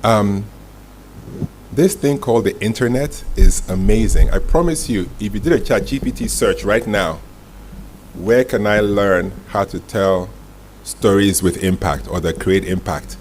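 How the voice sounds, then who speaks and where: Man speaking over clear background noise. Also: lowish voice, unhurried, darkish tone. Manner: direct, teasing, punctuates points with "right?". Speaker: masterclass speaker. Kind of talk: live talk answering audience questions. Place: indoor event room with audience. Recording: clearly noisy live room recording.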